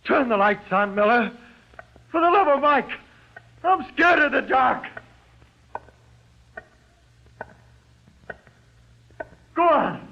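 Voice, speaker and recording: Panicky jailbird gangster, slangy and swinging in pitch, gravelly fry, old muffled radio crime drama. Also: male voice, expressive, unhurried, exaggerated voice. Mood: panicked, pleading. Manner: slangy, ungrammatical tough-guy talk cracking into pleading. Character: frightened, panicky jailed gangster, afraid of the dark.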